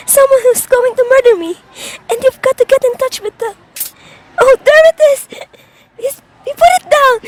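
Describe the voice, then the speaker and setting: Young woman acting a very high-voiced, frightened invalid woman. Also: poor audio. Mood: desperate panic. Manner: melodramatic, anxious, halting. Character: frightened, demanding invalid woman alone in her house. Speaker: student performer in a class radio drama. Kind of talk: suspense radio drama acting.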